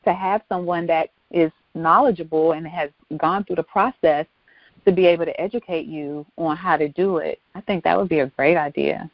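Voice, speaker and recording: Woman, talk show host, slightly low pitch, informal encouraging chat, repeated phrases, dry lo-fi sound. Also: fairly even intonation, moderate pace, no room sound. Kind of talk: casual interview chat. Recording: dull lo-fi sound.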